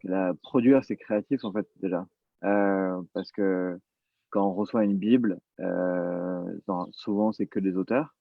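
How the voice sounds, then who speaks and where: A man's voice: low voice, fairly even intonation, measured pace, gravelly, dry recording, phone-quality sound. A man speaking as a podcast producer in a casual panel discussion, using informal, slangy wording.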